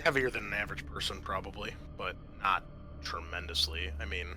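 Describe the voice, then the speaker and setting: A man narrating as game master in a tabletop roleplaying game, halting as he thinks aloud through his rulings. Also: low voice, music in the background.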